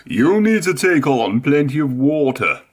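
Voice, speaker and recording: Man as a helpful big steam engine, lively pitch. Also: measured pace.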